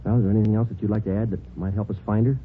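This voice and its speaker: A man acts a terse police detective in an old radio drama. His voice is low and he talks quickly in a clipped, matter-of-fact way. The vintage recording is dull. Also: flat delivery, a little hiss or hum, natural voice. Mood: businesslike. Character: terse, businesslike male police sergeant narrating his case. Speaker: lead police detective and narrator. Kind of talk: 1950s radio police drama narration and dialogue.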